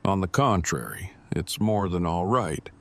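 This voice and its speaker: A man's voice: low voice, lively intonation, a little slow. A man playing a wordy, pedantic but devoted groom in an audio drama, speaking in stilted, formal phrases. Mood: sincere.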